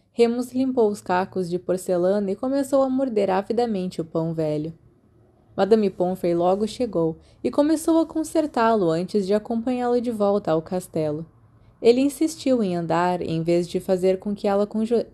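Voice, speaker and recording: A woman's voice: medium pitch, animated, moderate pace, warm tone. A Brazilian woman reading a story aloud as scripted audiobook narration. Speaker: podfic narrator.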